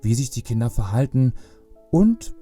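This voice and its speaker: A jolly old Father Christmas acted by a man speaks with fatherly kindness in a children's audio play. His voice is warm and mellow and swings widely in pitch, with soft music underneath. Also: sing-song, moderate pace, breathy edge. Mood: gently solemn.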